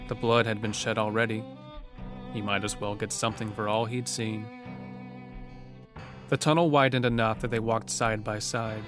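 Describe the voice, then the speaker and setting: A man reading scripted narration for a horror audio drama, as a storyteller. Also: fairly low pitch. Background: music under the narration.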